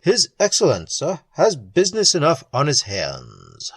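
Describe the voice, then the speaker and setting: A reader voicing a guarded male castle servant who speaks slowly and ominously. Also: mid-range voice, wildly swooping pitch, breathy edge, dry recording, exaggerated voice.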